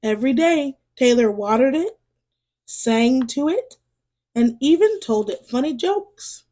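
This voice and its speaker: A woman reading a children's storybook aloud in an expressive way, as a storyteller speaking to young listeners. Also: sits high, unhurried. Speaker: storyteller and read-aloud host.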